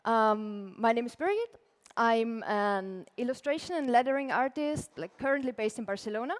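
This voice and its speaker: A young woman with an Austrian accent gives a relaxed talk from a conference stage. Her voice sits a little high. She chats loosely and fills pauses with "like". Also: in a large hall. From Austria (Tyrol). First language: German. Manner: casual, chatty, many "like" fillers, laughs lightly. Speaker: conference speaker, illustrator.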